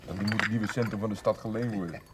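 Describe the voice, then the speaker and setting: An actor playing a concerned, sensible grown son with an earnest, matter-of-fact manner in a naturalistic television drama. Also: male voice, on the low side, fairly even intonation, quick pace, fairly bright tone, natural voice. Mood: wry. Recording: noisy 1970s television soundtrack.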